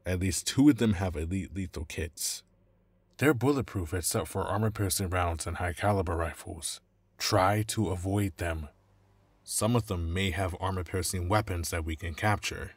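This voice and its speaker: A man acting a cornered, anxious informant in an audio roleplay, pleading and explaining tactics urgently in a husky voice. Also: low-pitched, dry recording. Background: music.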